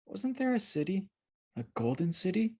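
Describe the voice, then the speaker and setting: Narrator doing an earnest, higher-pitched young girl. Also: sing-song, moderate pace, darkish tone, slightly rough. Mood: wistful. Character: gentle young girl, earnest storybook pilgrim.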